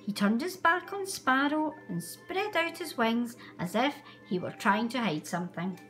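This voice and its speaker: A woman narrates a children's story in a highish, very animated voice. She reads aloud in storybook style, and music plays behind her. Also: unhurried. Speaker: storybook reader.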